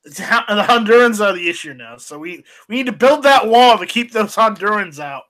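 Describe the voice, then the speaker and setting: A man's voice: high voice, expressive, brisk, fairly bright tone. A man hosting a casual podcast, joking and rambling with frequent restarts. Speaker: podcast host.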